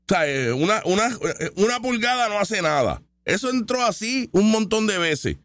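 A man's voice: high-pitched, big pitch swings, moderate pace, a bit bright, dry recording. A man hosting a live commentary show, reacting to clips in a sarcastic, heated and argumentative way. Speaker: online commentary host.

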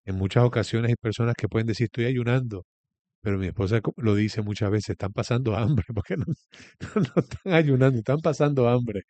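A man's voice: fairly low pitch, mellow, no room sound. A man with a Venezuelan accent giving a reflective religious talk as a guest, repeating key phrases for emphasis.

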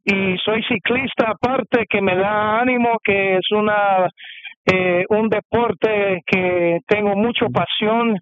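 Guest, male, from the US; high, unhurried, halting testimony on a muffled radio feed. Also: fairly even intonation, smooth, dry recording. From United States. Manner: halting, many fillers and restarts. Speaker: interview guest. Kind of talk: testimony interview. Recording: dull muffled radio sound.

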